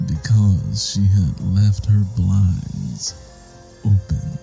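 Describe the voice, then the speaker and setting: A man reading a suspense story as a narrator, in a deliberate, measured and ominous way. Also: low-pitched, sing-song, creaky, mellow, dull audio, soft background music. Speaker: audiobook narrator. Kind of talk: dramatic scripted suspense narration.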